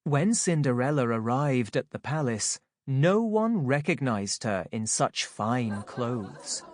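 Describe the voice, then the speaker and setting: A woman narrates a scripted children's story. Her delivery is slow and measured, with lively, expressive intonation. The sound is dry and close. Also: extremely low pitch, no room sound, a faint crowd. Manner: measured, expressive, clearly phrased. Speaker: audiobook narrator.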